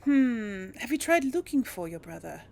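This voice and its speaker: A woman's voice: mid-range voice, very animated, moderate pace, vocal fry, darkish tone. A woman giving casual, musing game commentary, thinking aloud and speaking replies in character. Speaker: gaming video host.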